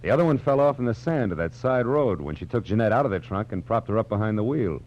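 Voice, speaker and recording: Weary American noir detective, clipped and wry, deep voice, muffled vintage radio sound. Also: male voice, lively intonation, fast, slight background noise. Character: world-weary, hard-boiled male private detective. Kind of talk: 1940s radio noir acting and narration. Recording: dull 1940s radio recording with music.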